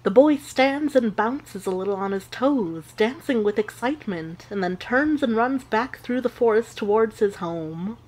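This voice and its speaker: A woman playing a witch who narrates an audio drama with measured, deliberate storytelling. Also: a little high, expressive, darkish tone, a little hiss or hum, low-quality recording.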